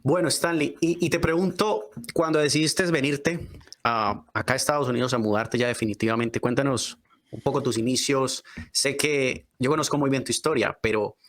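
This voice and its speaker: A man's voice: mid-range voice, steady tone, normal speed, a bit bright. A man hosting a podcast in a conversational style, addressing guest and listeners directly. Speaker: podcast host. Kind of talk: conversational podcast interview and promotion.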